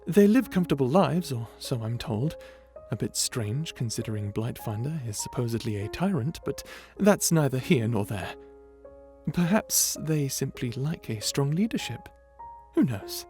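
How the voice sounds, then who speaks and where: Dragon butler, male actor: bashful, courteous, hesitant servant for ASMR roleplay, medium-pitched, faint music underneath. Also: sing-song, moderate pace, a little mellow, natural voice. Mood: courteous, gently explaining. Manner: stammering, hesitant, deferential, formally polite. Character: shy polite old dragon butler, male, eager servant.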